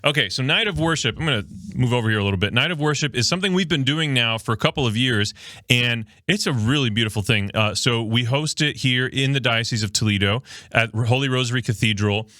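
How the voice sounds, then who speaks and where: A man's voice: mid-range voice, quick pace, pushed and bright, no room sound, studio quality. A man from Miami who grew up speaking Spanish, hosting a podcast in a loose, joking way and reading viewer comments aloud while short on sleep. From Miami, Florida. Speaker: podcast host. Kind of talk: casual livestream chat. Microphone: studio microphone.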